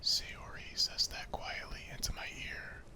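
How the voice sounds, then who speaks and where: A man reading visual novel narration in a hushed, deliberate ASMR whisper.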